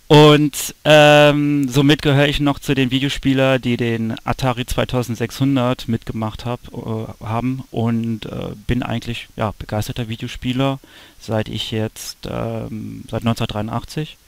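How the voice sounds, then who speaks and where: A man in his thirties hosts a podcast in a casual, unscripted way. His voice is gravelly with a lot of fry, and he often halts to search for words over an online call. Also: mid-range voice, level tone, normal speed. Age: 30s. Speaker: podcast host.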